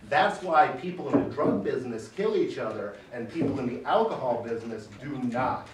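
A man in his forties testifying at a public hearing, speaking loudly and emphatically, off the cuff. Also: mid-range voice, animated, moderate pace, slight background noise. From Michigan. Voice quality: loud voice. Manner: emphatic, gesturing, pounds the table. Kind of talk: unscripted testimony. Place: large wood-panelled hearing room. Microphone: far camera. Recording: echoey room, camera far off.

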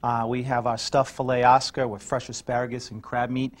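A middle-aged man, a chef and restaurant owner, answering interview questions in his restaurant in a relaxed way, with many fillers as he lists dishes. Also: mid-range voice, fairly quick. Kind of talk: on-camera interview and cooking demo. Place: restaurant dining room and kitchen.

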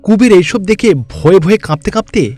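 A man's voice: sits high, expressive, moderate pace, a little mellow, husky, faint music behind. A man narrating a scripted cartoon fairy tale as the storyteller.

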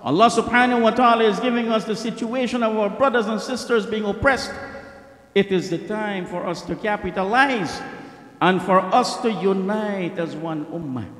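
A middle-aged man preaches into a stand mic at a mosque lectern, his voice pitched high for a man. He speaks with fervor and repeats key lines for weight. The sound rings with long reverb in a large prayer hall. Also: a bit bright. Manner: impassioned, repeats lines for emphasis, rapid Arabic recitation. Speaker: preacher giving a Friday sermon. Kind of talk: sermon with supplications. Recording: echoey hall.

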